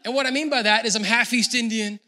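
A male pastor with a high, very bright and forceful voice delivers a sermon. He speaks in a relaxed, conversational way to viewers at home, then builds to loud, emphatic preaching. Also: fast.

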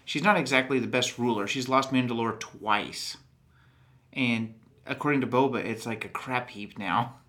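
A man from Texas rambles through a casual chat, animated and quick to break off his own sentences. His voice sits at an everyday middle pitch. He keeps circling back and restarting his points. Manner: rambling, animated, self-interrupting. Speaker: podcast host.

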